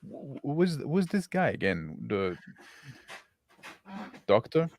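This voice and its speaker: A man improvising as a zealous, plain-spoken villager in a tabletop roleplaying game, informal and halting, often saying "like". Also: mid-range voice, big pitch swings, darkish tone, no echo, studio quality. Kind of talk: casual improvised roleplay and narration.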